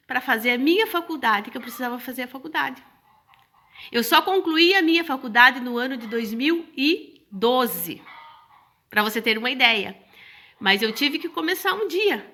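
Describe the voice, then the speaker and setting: A woman's voice: sits high, sharp tone. A middle-aged woman with a cold sharing an earnest devotional message, stacking repeated phrases as she talks. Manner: earnest, repetitive, preachy. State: hoarse from a cold.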